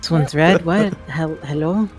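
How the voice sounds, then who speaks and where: High-ish male voice, blunt, exasperated, casual roleplay, light music behind. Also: a little slow. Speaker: tabletop game player. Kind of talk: casual in-character game chat. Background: background music.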